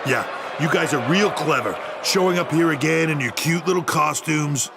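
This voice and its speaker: An actor playing a smug, sarcastic middle-aged wrestling executive villain in a video game, taunting with mock politeness in a gravelly voice. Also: male voice, fairly high pitch, moderate pace. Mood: sarcastic, impatient. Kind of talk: video game cutscene acting. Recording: noisy arena with crowd behind.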